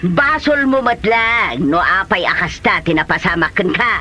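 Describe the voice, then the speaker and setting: A woman's voice: on the low side, animated, moderate pace, sharp tone, slight background noise. A woman acting as a stern, exasperated mother berating her grown son in an Ilocano comedy drama. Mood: scolding. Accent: Filipino, Ilocano.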